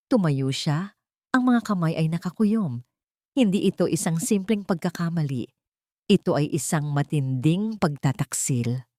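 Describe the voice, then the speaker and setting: A woman's voice: deep voice, dark tone, no room sound, clean studio sound. A woman reading a scripted dramatic story as an audiobook narrator.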